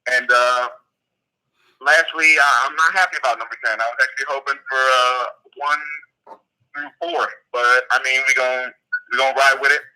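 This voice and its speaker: A man's voice: medium pitch, steady tone, normal speed, pushed and bright. A man calling in by phone, talking in a laid-back, slangy way with some swearing. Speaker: caller, league team owner. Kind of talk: casual phone chat. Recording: tinny phone line.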